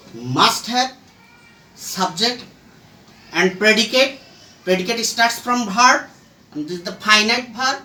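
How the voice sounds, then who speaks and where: A teacher giving an English grammar lesson in a halting, deliberate way, in short fragments. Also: mid-range voice, sharp tone. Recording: echoey room, distant mic, faint noise.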